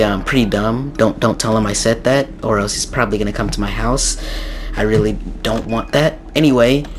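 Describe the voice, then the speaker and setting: A young man with a slightly raspy, hoarse voice from a sore throat, giving a rambling casual game tutorial with many ums and restarts. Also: medium pitch, a little hiss or hum. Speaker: gaming YouTuber.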